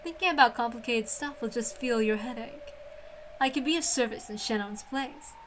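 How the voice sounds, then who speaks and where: A woman voicing a teasing, soothing witch companion in a story, soft and nonchalant. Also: fairly high pitch, moderate pace, slight background noise, close to an everyday voice.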